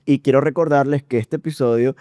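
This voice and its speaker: A man's voice: mid-range voice, fairly even intonation, brisk, warm tone, dry recording, studio quality. A man hosting a podcast, asking long, rambling questions in casual talk. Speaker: podcast host. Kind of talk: casual interview.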